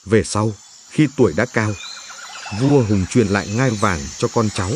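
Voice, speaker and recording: A man narrating a legend from a script, with solemn, measured storytelling. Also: fairly low pitch, a little hiss or hum. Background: music.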